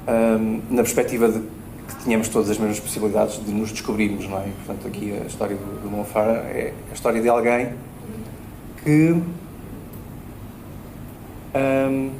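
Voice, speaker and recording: A man with a European Portuguese accent speaks as a debate panelist, pausing often with fillers and tag questions. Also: lowish voice, steady tone, mellow. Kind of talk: public panel discussion. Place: event space at a bookshop. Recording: roomy hall with faint noise.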